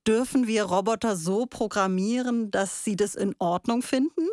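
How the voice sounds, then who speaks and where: A woman's voice: medium pitch, normal speed, darkish tone, dry recording, clean studio sound. A woman hosting a casual analytical discussion, summing up and probing with questions. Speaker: discussion host.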